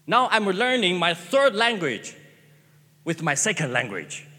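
Male pastor, Chinese native speaker, earnest sermon, slow and lively delivery, long hall reverb. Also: high-pitched. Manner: earnest, repeats phrases, stammering restarts.

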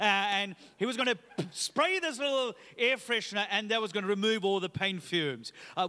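A man in his thirties, originally from Sri Lanka, gives an upbeat, chatty sermon as a guest pastor. His voice sits high and sounds bright and forceful, and he drops in frequent "uh" fillers. The sound carries the long reverb of a church hall. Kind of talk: sermon with personal story. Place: church auditorium.

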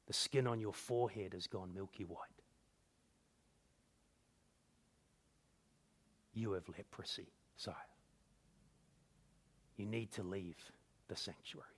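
Guy doing a stern temple priest, solemn n deliberate, higher pitched voice, biblical story. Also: expressive, a bit bright. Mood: hushed, grave. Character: stern chief priest with chubby forefinger, rebuking his king.

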